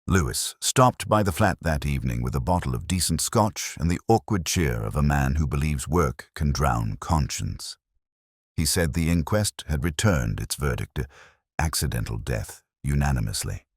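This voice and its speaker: A man reading a scripted first-person mystery story in a reflective, solemn, deliberate, melancholy manner. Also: rumbling low, lively intonation, husky, dry recording, studio quality. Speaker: narrator of a detective mystery story.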